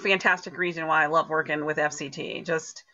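Woman, very bright voice, effusive casual panel chat, slightly muffled video-call audio. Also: low-pitched, fairly even intonation, fairly quick. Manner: enthusiastic, effusive. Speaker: community theater member on a talkback panel. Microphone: computer mic on video call.

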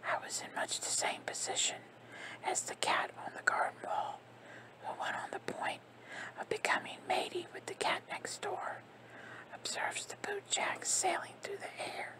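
A woman reading a comic novel aloud in a soft, steady whisper, in the style of an ASMR audiobook. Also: very high-pitched, unhurried, poor audio. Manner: soft unvoiced whisper throughout. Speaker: narrator.

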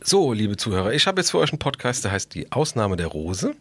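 Male podcast host, deepish and raspy, colloquial, jokey and blunt, casual chat. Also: very animated, a little fast, a bit bright.

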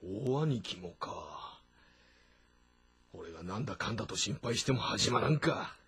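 A man playing a rough, loyal middle-aged warrior general with a husky voice and blunt, informal speech in a historical drama. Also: on the low side, expressive, a little slow, a bit bright, heavily acted. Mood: uneasy, earnest.

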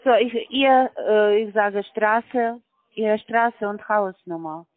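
A woman's voice: medium pitch, slow, no room sound. A woman working as a call center agent on a phone call, talking in broken grammar with constant hesitant fillers. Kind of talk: phone sales pitch. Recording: tinny dry phone line.

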